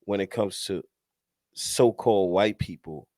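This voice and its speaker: A man hosting a talk show, asking questions haltingly with many fillers. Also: low voice, dark tone, dry recording, clean studio sound.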